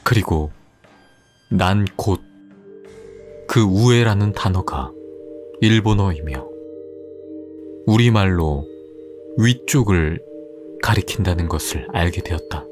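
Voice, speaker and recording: A man reading a horror tale in a deliberate, unhurried way, his lowish voice rising and falling with lively intonation. Faint music plays softly behind him. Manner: slow, suspenseful. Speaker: storyteller. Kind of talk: scripted horror narration.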